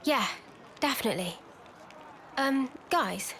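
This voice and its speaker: Earnest young warrior woman for game cutscenes: lightly high, gentle, sincere, hesitant. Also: lively intonation, slow, slight background noise, exaggerated voice. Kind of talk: video game cutscene acting.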